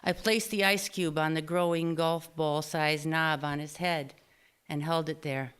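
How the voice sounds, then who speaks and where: A woman reading her own personal essay aloud at a live storytelling event, with expressive, deliberate phrasing. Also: sits low, smooth. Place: small theater stage, live reading before an audience.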